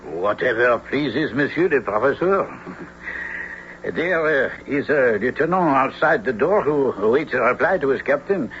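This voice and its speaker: A loyal French servant in a radio play. He is courteous and eager to oblige, with a bright, animated voice. The recording is dull with some noise. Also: medium pitch, unhurried. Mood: devoted. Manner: polite, obliging. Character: deferential, loyal French manservant. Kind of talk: radio drama acting.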